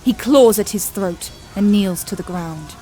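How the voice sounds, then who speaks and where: A woman's voice: medium pitch, unhurried, warm tone. Grave female fantasy narrator over music. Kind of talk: scripted dramatic fantasy audio narration.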